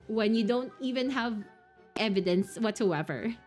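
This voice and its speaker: Young woman streamer, highish voice, rambly and giggly, faint music under her. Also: expressive, measured pace, a little mellow. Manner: rambling, giggly, lots of fillers. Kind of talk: reading a visual novel aloud with casual commentary.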